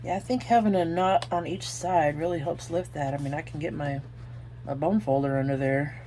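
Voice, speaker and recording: A woman's voice: low voice, steady tone, moderate pace, dark tone, a little hiss or hum. A woman with an Oklahoma accent, chatting her way through a crafting tutorial and thinking aloud as she works. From Oklahoma, United States. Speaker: craft video host.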